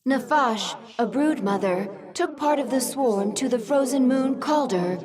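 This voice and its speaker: An actress playing a calm, dutiful female alien advisor giving briefings. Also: sits high, crowd noise. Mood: measured. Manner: measured briefing delivery. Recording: game cinematic with music.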